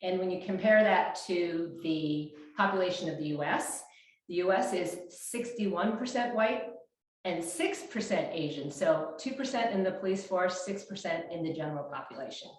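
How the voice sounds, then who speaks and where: A woman's voice: lowish voice, normal speed. A woman in her fifties hosting a casual interview. She talks in a chatty way, often restarts her sentences and uses fillers, and speaks into a small clip-on mic. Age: 50s. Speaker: fitness coach and interview host. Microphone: small clip-on mic shared between speakers. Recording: very echoey room.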